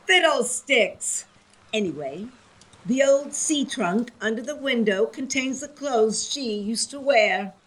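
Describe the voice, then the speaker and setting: An actress playing a cantankerous older aunt who scolds brusquely in a gothic comedy audio drama. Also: female voice, fairly high pitch, expressive, slow pace, a bit bright, rough recording. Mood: brusque, dismissive.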